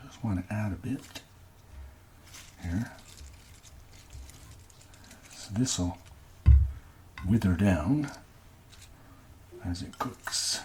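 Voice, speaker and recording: A man from Canada speaking softly and gently in an ASMR cooking style, often dropping to a breathy whisper as he talks through each step. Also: on the low side, lively intonation, drawn-out words, long pauses, slight background noise. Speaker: amateur ASMR cooking host. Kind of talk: soft-spoken ASMR cooking narration.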